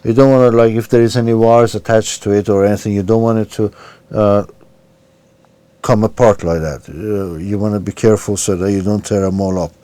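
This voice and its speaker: A man's voice: on the low side, fairly even intonation, brisk, warm tone, dry recording. A man giving an informal, unscripted step-by-step repair demonstration, talking hesitantly as he works with his hands. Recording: clear background noise, handling clicks.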